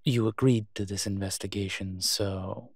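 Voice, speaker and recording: A man playing a proud, flirtatious incubus demon professor in an intimate audio roleplay, husky and teasing, with hesitant, drawn-out pauses. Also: fairly low pitch, fairly even intonation, darkish tone, no room sound, clean studio sound. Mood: teasing, reluctant.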